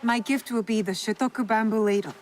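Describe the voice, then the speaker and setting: A woman's voice: on the high side, fairly even intonation, a little fast, faint music behind, lightly acted. An actress playing a reserved, earnest young female student in a video game, polite, guarded and plain-spoken. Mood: humble, resolved. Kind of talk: video game cutscene acting.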